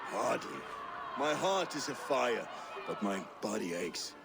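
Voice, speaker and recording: A man playing a hearty, world-weary warrior in a video game cutscene, with a gravelly voice and wry manner, outdoors in noise. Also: lowish voice, big pitch swings, slow, full character voice. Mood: wry, tired.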